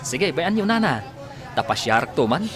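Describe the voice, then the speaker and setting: A man's voice: fairly high pitch, sing-song, moderate pace, crowd noise. A man acting a friendly, curious young visitor with an Ilocano accent in a radio comedy drama.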